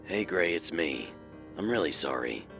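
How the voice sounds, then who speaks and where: An actor playing a troubled man with a sinister other self in an audio drama, speaking in a gravelly voice. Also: lively intonation, normal speed, phone-quality sound, over music. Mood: apologetic, confused. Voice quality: gravelly, very deep. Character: troubled man named Joe, possessed by sinister alter ego.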